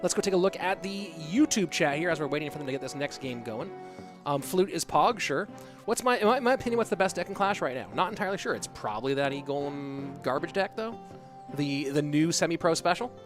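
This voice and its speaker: A man's voice: on the high side, fast, over music. Laid-back male esports streamer. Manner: relaxed, rambling, answers viewer questions. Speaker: esports caster reading chat. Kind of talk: casual livestream chat.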